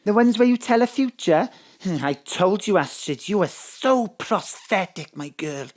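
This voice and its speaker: A woman's voice: mid-range voice, animated, a little slow. A woman playing a bossy middle-aged mother in a comic audio drama, given to indignant retorts. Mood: brisk, fond. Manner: bossy, indignant rhetorical questions. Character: Astrid's bossy, muddle-worded mother.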